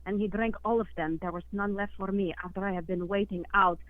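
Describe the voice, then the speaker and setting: A woman's voice: mid-range voice, fairly even intonation, brisk, no echo. An earnest, easily offended lizard woman with a put-on Russian accent and broken English calls in, voiced by a woman. Her voice comes through a thin, narrow phone line. The sound is dry, with no room around it. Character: lizard woman posing as Russian caller, indignant. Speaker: caller.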